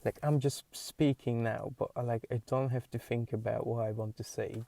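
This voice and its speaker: A young man with a Hungarian accent, a podcast host thinking aloud in a halting, searching way with many restarts. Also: medium pitch, fairly even intonation, creaky, warm tone, dry recording. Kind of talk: solo musing monologue.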